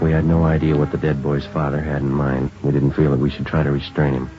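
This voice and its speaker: A sober police detective with an extremely deep voice. He speaks in short, understated, matter-of-fact phrases, in the manner of old radio drama. The sound is dull and muffled. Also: flat delivery, quick pace. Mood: flat narration. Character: sober, plain-spoken police detective narrating his case. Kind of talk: 1950s radio drama narration. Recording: muffled old radio recording, faint music.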